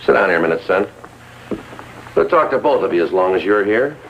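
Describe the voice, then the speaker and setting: No-nonsense 50s tv cop, talks fast and clipped, very authoritative, dull old recording. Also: male voice, low-pitched, very animated, slight background noise. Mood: firm, serious. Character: stern, no-nonsense male police sergeant. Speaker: police sergeant in a crime drama. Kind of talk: 1950s TV crime drama acting. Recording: dull lo-fi 1950s TV soundtrack.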